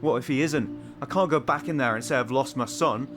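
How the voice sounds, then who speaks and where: A gruff, irritable middle-aged father, acted out by a male narrator in an audio drama. He blusters impatiently, anxious about how he looks to others, over a bed of music. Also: medium pitch, brisk, lightly acted. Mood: irritated, worried. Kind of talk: audio fiction character dialogue.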